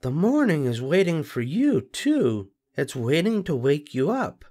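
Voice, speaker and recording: An older man reading a storybook aloud, voicing a patient, kind grown male rabbit in a soft, soothing bedtime tone. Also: fairly high pitch, big pitch swings, measured pace, pitched above the performer's own voice.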